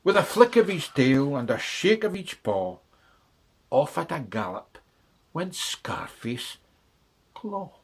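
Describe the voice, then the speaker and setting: A male storyteller reads rhyming children's verse at a slow pace. His delivery is dramatic, with lively swings in pitch and a slightly husky, breathy tone. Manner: dramatic, rhythmic verse reading. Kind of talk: children's bedtime story reading.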